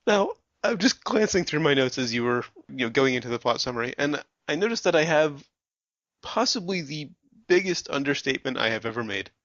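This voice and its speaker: Man chiming in with quick corrections. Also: mid-range voice, sing-song, fairly bright tone, no echo, dull audio. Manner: short interjections and quick corrections. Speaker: podcast co-host. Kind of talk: casual film review chat.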